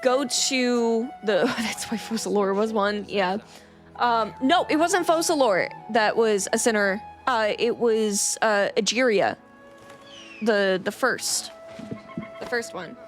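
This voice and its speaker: A woman's voice: on the high side, slow pace, faint music behind. A woman streaming a game, casually recapping its story to viewers in a rambling, easily sidetracked and playful way.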